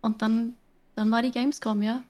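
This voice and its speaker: A woman's voice: fairly high pitch, level tone, moderate pace, warm tone. Chatty Austrian woman streamer. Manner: chatty and explanatory, with frequent fillers. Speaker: guest streamer. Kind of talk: casual podcast chat.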